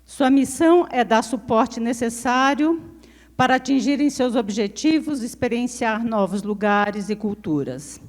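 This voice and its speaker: A woman's voice: a little high, a little mellow. A woman with a Brazilian Portuguese accent formally introducing a lecture speaker, reading a prepared text. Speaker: event presenter. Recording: lecture hall reverb.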